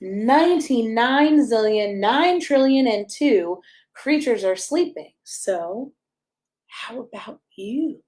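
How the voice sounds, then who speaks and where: A woman's voice: fairly high pitch, lively intonation, takes it slowly, darkish tone. Woman reading a playful children's story. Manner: playful, sing-song storybook delivery with emphasis on rhymes. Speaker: pastor and storyteller reading aloud. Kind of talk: expressive read-aloud of a rhyming children's picture book.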